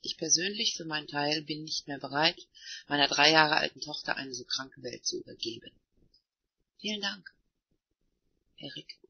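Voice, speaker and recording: A man moderating an online voice chat, reading participants' messages aloud in a plain, unhurried way. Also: on the high side, creaky, breathy edge. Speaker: meeting moderator. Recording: dull, muffled online voice chat.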